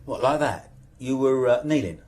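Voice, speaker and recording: An actor playing a police inspector in a radio drama, questioning with false patience in a deliberate, repetitive, probing way. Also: male voice, medium pitch, expressive, darkish tone, lightly acted. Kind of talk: naturalistic radio drama acting.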